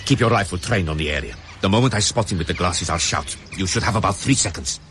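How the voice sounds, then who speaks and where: An actor playing a cold, commanding KGB major in a radio drama, giving clipped, menacing orders. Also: male voice, sits low, lively intonation, quick pace, a bit bright, a little hiss or hum. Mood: tense, commanding.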